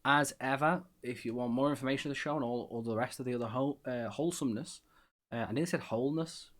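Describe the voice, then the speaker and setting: A man's voice: medium pitch, quick pace. A man with a Scouse accent hosting a podcast in casual chat, rambling and self-deprecating with lots of fillers. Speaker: podcast host.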